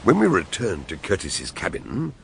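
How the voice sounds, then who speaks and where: An actor playing a measured, understated doctor who narrates a radio mystery and joins the scenes. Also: male voice, deep voice, huge pitch swings, gravelly, a little hiss or hum, exaggerated voice. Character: male narrator, a calm doctor detective. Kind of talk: radio drama narration and dialogue.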